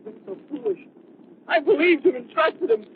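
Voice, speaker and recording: A man's voice: very high voice, sing-song, normal speed. An actor playing an agitated, blinded young man in an overwrought 1930s radio melodrama style. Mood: anguished. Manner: overwrought, exclamatory. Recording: tinny, noisy 1930s radio recording.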